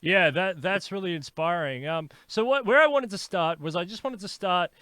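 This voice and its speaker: A man hosting a podcast interview, asking long, animated questions with frequent stammers and restarts. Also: sits high, moderate pace, clean tone, no echo. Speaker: podcast host.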